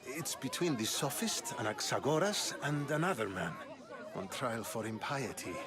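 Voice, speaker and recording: An actor plays a weary, courteous statesman in a video game. His mid-pitched voice moves widely in intonation yet stays measured and wry, with crowd noise behind it. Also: expressive, lightly acted. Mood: businesslike. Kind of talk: video game cutscene acting.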